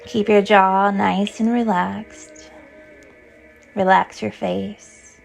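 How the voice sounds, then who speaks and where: Female meditation guide, gentle and soothing, mid-pitched with some vocal fry, faint music beneath. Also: level tone. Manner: soothing, gentle, unhurried instruction. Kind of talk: guided yoga nidra meditation.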